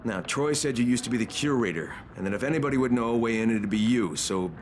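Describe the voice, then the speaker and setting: Actor as a determined gruff action hero. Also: male voice, mid-range voice, expressive, quick pace, slight background noise, close to an everyday voice. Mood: gruff, insistent. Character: gruff, defiant undercover operative, determined and sarcastic. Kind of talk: video game cutscene acting.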